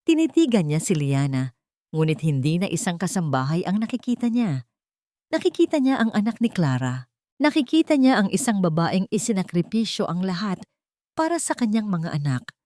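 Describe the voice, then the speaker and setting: A woman's voice: medium pitch, very animated, moderate pace, warm tone, no echo. Dramatic woman storyteller, close and dry. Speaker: story narrator. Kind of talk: dramatic scripted narration of a love story.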